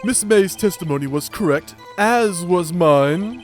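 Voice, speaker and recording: A man's voice: sits high, lively intonation, measured pace, faint music behind. A man voicing a smug, pompous businessman with an affected, flowery manner, as in an amateur video game dub. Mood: smug, insistent. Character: pompous, smug, theatrical male businessman, condescending witness.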